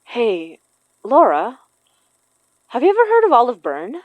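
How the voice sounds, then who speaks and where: A woman's voice: a little high, wildly swooping pitch, measured pace, dry recording, phone-quality sound. A woman acting in an audio horror drama as an earnest, knowing spirit medium who blames herself. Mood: thoughtful. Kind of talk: audio fiction podcast acting.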